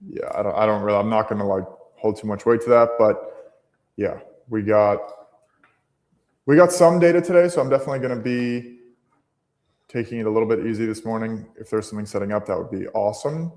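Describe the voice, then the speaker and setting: A man's voice: on the low side, steady tone, brisk, a little mellow, in a large hall. A man hosting a live trading stream, talking casually and thinking aloud, with many fillers and restarts.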